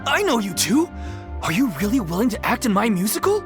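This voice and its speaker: A man's voice: extremely high pitch, sing-song, a little fast. An actor playing an earnest, downcast man who leads an amateur theater troupe, speaking sincerely and politely. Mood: wistful. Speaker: troupe organizer. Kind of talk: game voice acting. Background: game soundtrack music.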